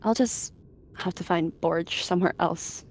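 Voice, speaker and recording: An anxious young she-cat voiced by a woman in a game roleplay. She is hesitant and huffy, mumbling and whining in a slightly low female voice. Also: a little slow, a little mellow.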